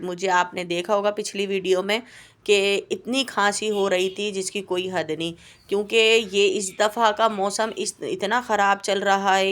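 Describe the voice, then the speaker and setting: A woman's voice: medium pitch, a bit bright. Home baker woman: sore throat, coughing, plaintive and rambling in her voice-over. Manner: plaintive, complaining, rambling with hesitations. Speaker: home baker and cooking channel host. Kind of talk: voice-over vlog chat. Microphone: home voice-over mic.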